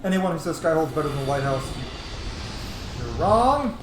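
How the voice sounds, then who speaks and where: A man giving casual, excitable, sweary commentary as he plays a role-playing video game. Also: fairly high pitch, moderate pace. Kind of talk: casual let's play commentary. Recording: roomy echo, clearly noisy background.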